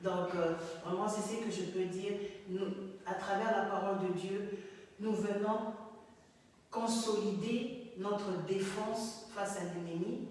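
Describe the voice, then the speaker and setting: A preacher exhorts the audience in a mid-pitched voice, often restarting and stumbling over filler words. The voice sits well back from the mic and rings through a long, echoey hall. The speech is unhurried and sounds like a broadcast from a studio set. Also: fairly even intonation, a little mellow, distant mic, a little hiss or hum. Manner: repeats words and restarts, many "donc, euh" fillers. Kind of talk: preaching exhortation, then announcing.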